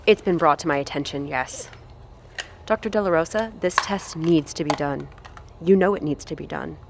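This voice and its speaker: An actress playing a cold, detached female scientist who speaks calmly and formally in an audio drama. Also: sits low, moderate pace, gravelly, noisy background. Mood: cold, clinical. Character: cold, clinical female scientist, calm and unbending.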